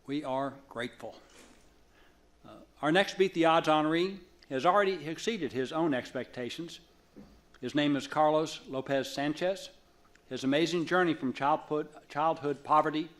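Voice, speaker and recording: Higher-pitched man giving a ceremony speech. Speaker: board chair introducing an honoree. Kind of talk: formal speech at an awards ceremony.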